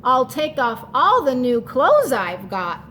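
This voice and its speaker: Woman playing a high-pitched, sneezy storybook snowman. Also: big pitch swings, slow, fairly bright tone, a little hiss or hum, exaggerated voice. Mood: pleased, dramatic. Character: chilly, cheerful, sneezy male snowman.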